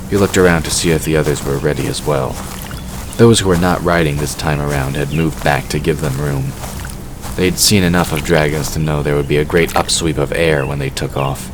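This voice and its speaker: Extremely deep-voiced male story narrator. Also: noisy background. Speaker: audiobook-style narrator. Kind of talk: scripted third-person fantasy narration.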